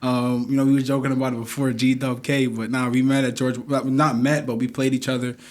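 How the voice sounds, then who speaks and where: New york city guy cohosting a podcast, slangy, pretty flat tone, average pitch. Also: a little fast. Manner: slangy, conversational. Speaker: podcast co-host. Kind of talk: casual chat.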